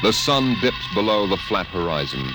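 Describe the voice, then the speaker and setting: A man performing as a grave, suspenseful storyteller, narrating an old-time radio science fiction drama deliberately. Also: deep voice, very animated, low-quality recording. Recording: old radio recording with music. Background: music.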